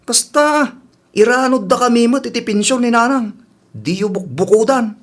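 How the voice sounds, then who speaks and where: A man's voice: extremely high pitch, lively intonation, moderate pace, a bit bright. A man playing a brash, impatient grown son in a radio drama, insistent and dismissive, with an Ilocano accent. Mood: nagging. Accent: Filipino (Ilocano).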